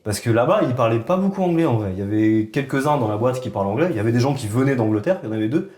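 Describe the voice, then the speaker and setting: A man co-hosting a podcast, telling a personal story in relaxed, casual conversation full of fillers. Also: medium pitch, very fast, echoey room. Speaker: podcast co-host. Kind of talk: casual chat.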